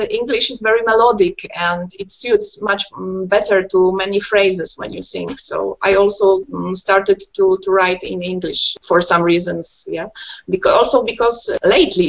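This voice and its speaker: Polish woman guest on a muffled line. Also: mid-range voice. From Poland. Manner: earnest, frequent "uh" and tag "yes?". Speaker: musician guest. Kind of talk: interview over a call.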